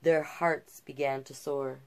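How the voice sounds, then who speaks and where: A middle-aged woman reading a children's picture book aloud with expressive delivery and casual asides. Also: extremely low pitch. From Canada. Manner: unhurried, expressive storybook delivery. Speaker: storytime host and drag king. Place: small cluttered room indoors.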